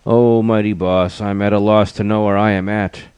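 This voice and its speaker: Man playing a deep, defiant tiger. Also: flat delivery, normal speed, darkish tone, clean tone, no room sound, natural voice. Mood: indignant. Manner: declamatory, defiant. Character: grand, indignant, demanding male tiger.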